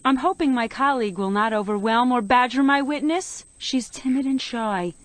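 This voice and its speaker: A woman's voice: fairly high pitch, moderate pace, dry recording, lightly acted. A woman playing an earnest bird prosecutor, pleading formally and theatrically in a rhymed comic story.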